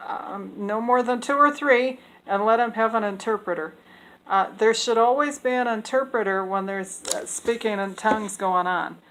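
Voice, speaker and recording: Earnest woman, halting with fillers. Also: fairly high pitch, a bit bright, slight background noise, rough recording. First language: English. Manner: hesitant, many fillers and restarts; earnest, opinionated. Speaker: speaker explaining Bible passages. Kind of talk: unscripted talk on scripture.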